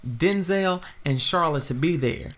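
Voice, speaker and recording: An American narrator playing a sassy, lovestruck, confident woman in a chatty first-person audio drama, expressive and full of slang. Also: medium pitch, measured pace, slight background noise. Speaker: narrator and lead character.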